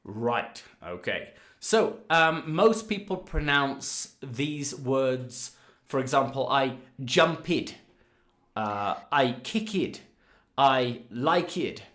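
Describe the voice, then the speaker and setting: A man in his thirties, a regular guest and English teacher, talking in a relaxed way and letting his phrases trail off quietly, on a video call. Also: medium pitch, drawn-out words. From Ireland. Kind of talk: casual teaching chat. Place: home room, indoors. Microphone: studio mic on a video call.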